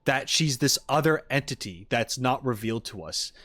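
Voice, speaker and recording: A man's voice: mid-range voice, lively intonation, moderate pace, a bit bright, no echo. Dry-sounding man, rambling streamer chat. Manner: rambling, emphatic quoting of others. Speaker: streamer reacting to game videos. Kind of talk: casual chat with viewers, theorizing.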